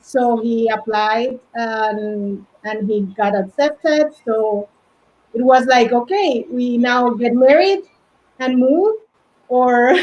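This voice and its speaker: Colombian lady in her 40s, slow and halting, lotsa ums, kinda muffled lo-fi audio. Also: fairly high pitch, darkish tone. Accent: Colombian Spanish. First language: Spanish. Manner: halting, many fillers and restarts. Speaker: guest, fashion stylist. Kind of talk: casual interview chat. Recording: dull lo-fi audio.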